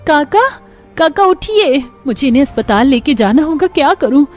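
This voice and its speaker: Woman acting an earnest, humble young daughter-in-law, higher voice. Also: lively intonation, moderate pace, faint music behind. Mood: anxious. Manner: earnest, pleading.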